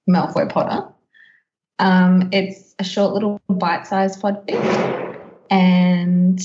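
A woman's voice: on the low side, a little mellow. A woman co-hosting a casual podcast, chatting in a playful, teasing way. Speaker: podcast host. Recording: dull, roomy, echoey sound.

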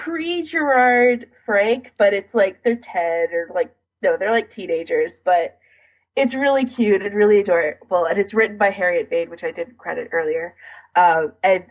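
A woman podcast co-host with a husky, slightly rough voice. She talks in a casual, informal way with lots of "like", as in a chat between hobbyists. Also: medium pitch, lively intonation, moderate pace, poor audio. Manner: informal, frequent "like" as filler.

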